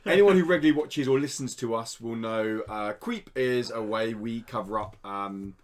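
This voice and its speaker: A man's voice: medium pitch, moderate pace. A British man hosting a podcast in casual, jokey chat full of slang. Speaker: podcast host.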